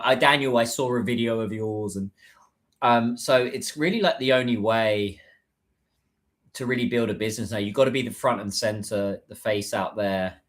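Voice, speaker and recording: A British man talks loosely to viewers on a livestream, his voice sitting at an ordinary middle pitch. He rambles, restarts sentences and fills gaps with "um" and "like". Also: fairly bright tone. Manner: rambling, chatty, many fillers and restarts. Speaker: livestreamer and business content creator. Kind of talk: casual livestream chat.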